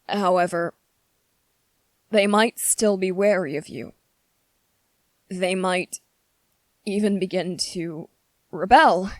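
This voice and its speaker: Slow, low-voiced anxious young prince, played by a woman. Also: no echo. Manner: careful, hesitant, formal, pleading. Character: anxious, earnest, gentle young male fantasy prince. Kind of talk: ASMR character roleplay.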